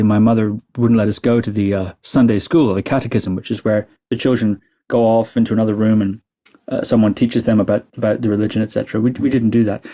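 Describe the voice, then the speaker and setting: A man from the Shetland Islands, a meditation teacher telling his life story as a podcast guest, thoughtful and hesitant, with many pauses and fillers. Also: mid-range voice, mellow. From Scotland, Shetland Islands. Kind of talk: reflective interview storytelling.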